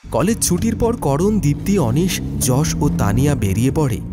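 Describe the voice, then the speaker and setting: A man's voice: a little high, big pitch swings, normal speed, over loud music. A man narrating a scripted horror story in a dramatic style.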